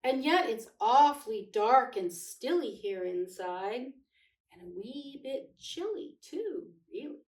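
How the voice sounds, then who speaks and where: A woman's voice: fairly high pitch, very animated, takes it slowly. A woman voicing a small, childlike male toy bear in a storybook, earnest, wondering and plaintive. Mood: uneasy, puzzled. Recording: echoey room, distant microphone.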